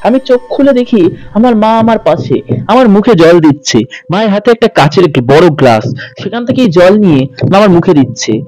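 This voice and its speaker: A man's voice: sits high, lively intonation, a little fast, darkish tone, poor audio. A man narrating in a dramatic, suspenseful ghost-story style as a channel host. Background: background music.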